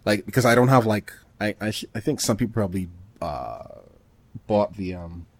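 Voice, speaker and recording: A man co-hosting a podcast, chatting casually about gaming in a halting way, often rephrasing himself mid-thought. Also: on the low side, dry recording. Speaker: podcast co-host.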